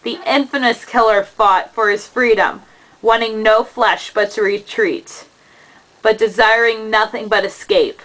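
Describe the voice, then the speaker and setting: Slow, solemn woman storyteller. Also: fairly high pitch, fairly even intonation, fairly bright tone, poor audio. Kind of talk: dramatic narration of an epic poem for a puppet show.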